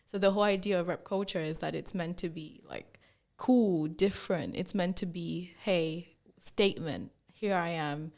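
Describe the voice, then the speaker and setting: A young woman from Zambia chats freely as an interview guest, with a lowish voice that has a touch of vocal fry. She tells her story in an animated way, filling it with "like" and acting out what people said to her. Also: moderate pace. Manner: animated storyteller, acts out quoted remarks, many "like"s. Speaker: fashion brand founder, guest. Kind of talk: casual interview chat.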